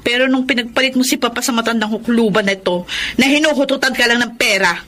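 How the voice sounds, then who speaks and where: An actress playing a resentful, confrontational grown daughter in a radio drama, biting and accusing. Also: female voice, a little high, fast, a bit bright.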